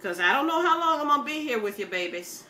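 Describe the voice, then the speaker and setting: A woman's voice: high voice, sing-song, fast, bright, forceful tone, a little hiss or hum. An older American woman chatting casually to her viewers in a folksy, opinionated, rambling way. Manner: folksy, opinionated, plain-spoken, calls listeners baby. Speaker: video blogger.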